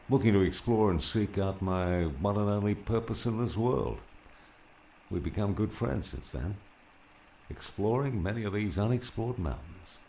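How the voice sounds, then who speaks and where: A man voicing a gruff older mountaineer mentor in an audiobook, gravelly, wry and musing. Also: mellow, a little hiss or hum. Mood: hearty, welcoming. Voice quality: gravelly, very deep.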